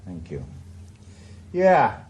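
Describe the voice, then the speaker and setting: An actor playing a wry, self-mocking retired detective in a 1980s radio drama. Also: male voice, lowish voice, wildly swooping pitch, drawn-out words, a little mellow, dull audio, exaggerated voice. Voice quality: dry, joking, self-mocking. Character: wry retired male ex-detective, middle-aged. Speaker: lead character. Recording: hissy old radio broadcast recording.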